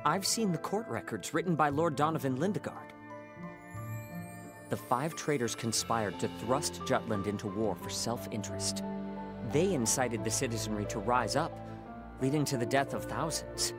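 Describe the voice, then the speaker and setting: A man's voice: medium pitch, animated, normal speed, lightly acted. An actor playing an earnest, idealistic young male scholar in a game cutscene, speaking formally and insistently. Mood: earnest, questioning. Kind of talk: dubbed video game cutscene acting. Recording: music behind the voice.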